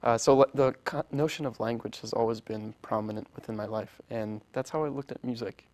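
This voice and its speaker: A young man whose first language is Polish, speaking as a guest in an interview, earnest and halting with many restarts. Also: mid-range voice, fairly even intonation, darkish tone, no room sound. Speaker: orchestra founder, guest. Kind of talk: interview conversation.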